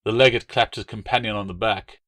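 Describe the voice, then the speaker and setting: A man's voice: on the low side, steady tone, brisk, a bit bright, dry recording. A man reading scripted audiobook narration as a storyteller. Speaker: author reading own novel as narrator.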